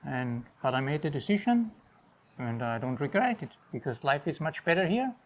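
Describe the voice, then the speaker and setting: A man's voice: a little high, normal speed. Austrian man on a jungle walk. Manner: relaxed, rambling, with many restarts. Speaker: hotel owner. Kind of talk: casual interview on a property tour. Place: outdoors on a jungle property.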